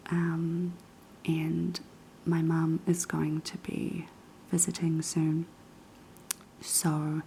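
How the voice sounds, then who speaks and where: A young woman with a New Zealand accent whispering unhurried ASMR chat in a kitchen, her voice raspy and hoarse after being sick. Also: low voice, a little hiss or hum, poor audio. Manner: soft, unhurried whispering with many ums. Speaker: ASMR creator. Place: kitchen of a quiet flat.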